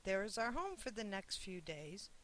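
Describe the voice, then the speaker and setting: A narrator as a gentle, reassuring storybook mother. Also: medium pitch, very animated, a little hiss or hum, voice pushed up. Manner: soft, slow, tender.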